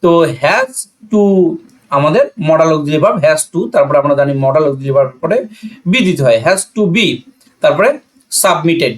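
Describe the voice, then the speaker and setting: A Bangladeshi-accented man with a slightly high voice explains grammar like a classroom teacher. He is didactic and methodical, posing questions and answering them himself. Key points come back again in repeated phrases. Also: lively intonation, moderate pace.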